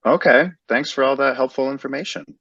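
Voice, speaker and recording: A man's voice: mid-range voice, fairly quick, husky, no echo. A man playing a patient in a training role-play who answers health questions in a plain, cooperative and slightly hesitant way. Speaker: patient being interviewed. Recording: slightly muffled dry recording.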